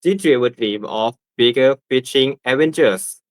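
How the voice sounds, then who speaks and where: A man's voice: medium pitch, normal speed, dry recording. A nineteen-year-old man with a Thai accent, reading a scripted class presentation and prompting his classmates. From Thailand. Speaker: student presenter.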